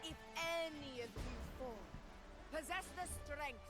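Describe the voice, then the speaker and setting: A woman's voice: sits high, a little slow. An actress playing a solemn, commanding female herald in a video game, speaking in a stately, declamatory manner. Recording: loud music behind the voice. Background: loud game music.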